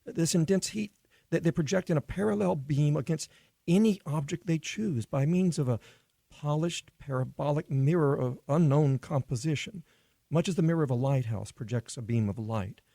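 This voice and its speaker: A man playing a cautious, scholarly professor in a radio play, speaking in a halting, pedantic way with stammered restarts. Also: on the high side, creaky, dark tone, dry recording. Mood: cautious, pondering. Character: hesitant, scholarly male scientist, cautious professor. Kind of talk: radio play acting, scientific explanation.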